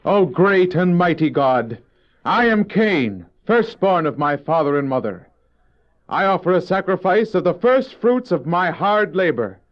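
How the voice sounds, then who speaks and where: An actor playing Cain, a proud, resentful farmer and elder brother, in a theatrical, argumentative manner for a religious audio drama. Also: male voice, sits high, very animated, measured pace. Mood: proud pleading. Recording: dull old cassette audio drama.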